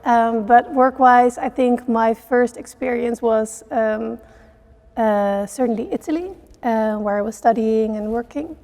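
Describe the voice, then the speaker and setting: A woman's voice: fairly high pitch, a little slow, a little mellow. A middle-aged woman from the Netherlands, answering interview questions earnestly with many fillers. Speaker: CEO of a network for social entrepreneurs.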